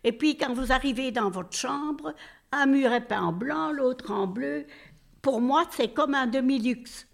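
Chatty older woman with a creaky voice. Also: sits high, measured pace. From Belgium. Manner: chatty, rambling, with many fillers. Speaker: nursing home resident, interviewee. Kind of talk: casual interview answers.

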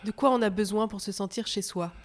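A woman interviewer asking questions slowly and deliberately. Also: mid-range voice, steady tone.

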